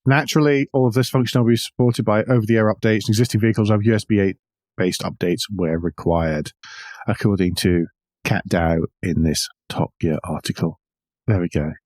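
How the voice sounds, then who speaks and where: Middle-aged man, low voice, dry sound. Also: fairly even intonation, brisk, darkish tone, no echo. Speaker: podcast co-host. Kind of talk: casual discussion of car news.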